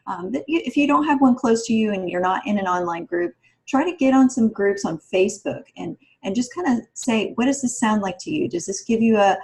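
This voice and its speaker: A woman's voice: fairly high pitch, expressive, a little fast, darkish tone, rough recording. A woman speaking as a podcast panelist, giving direct, encouraging, practical advice in a casual way. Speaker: podcast panelist, writing mentor.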